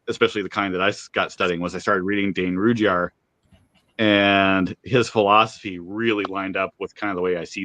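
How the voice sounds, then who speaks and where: A man's voice: sits low, pushed and bright, no room sound. A man introducing himself on a video call, thoughtful and hesitant with frequent fillers. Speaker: new guest. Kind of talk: casual chat.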